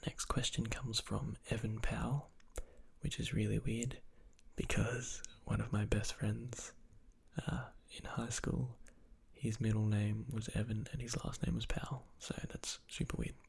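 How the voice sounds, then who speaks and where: A young man, an ASMR channel creator, answers viewer questions in a soft whisper, rambling with fillers. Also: fairly low pitch, little pitch movement, measured pace, gravelly.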